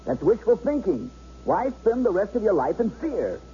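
Insistent, very mellow-voiced lawyer. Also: male voice, sits high, sing-song, moderate pace. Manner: insistent, earnest, persuasive. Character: urgent, protective lawyer pleading with a friend. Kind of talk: 1970s radio drama acting. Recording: dull, noisy old radio broadcast recording.

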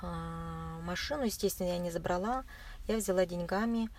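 A woman recounting her experiences as an interview guest in casual, halting speech, often searching for words. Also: lowish voice, darkish tone. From Russia. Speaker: interview guest, marathon runner. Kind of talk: casual spoken recollection. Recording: clear background noise.

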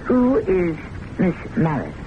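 An actress in an old-time radio drama playing a prim, devoted secretary, formal and precise, quietly disapproving and anxious. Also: female voice, fairly low pitch, wildly swooping pitch, slow, exaggerated voice. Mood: dry, reserved. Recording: dull, noisy old radio recording.

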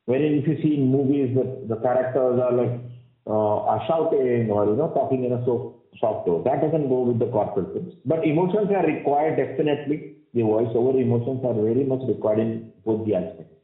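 A man with a husky, heavy voice, explaining at length as an interview guest, with many fillers and restarts. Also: mid-range voice, fairly quick. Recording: roomy, tinny phone-like sound.

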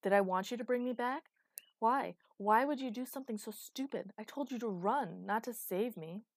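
A woman's voice: mid-range voice, animated, slow pace, mellow, dry recording, studio quality, voice pushed up. A woman voicing an anguished, angry warrior man with a remade metal body in a storybook narration.

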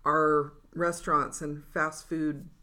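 A woman in her sixties co-hosting a casual podcast, calm and measured. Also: sits low. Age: 60s. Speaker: podcast co-host. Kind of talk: casual chat.

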